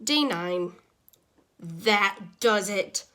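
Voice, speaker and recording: Woman as a slow, dramatic, grumpy little goldfish. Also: medium pitch, exaggerated voice. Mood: exasperated. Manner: deliberate, dramatic, expressive. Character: small grumpy, easily exasperated pet goldfish narrating a diary. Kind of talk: expressive children's picture-book read-aloud.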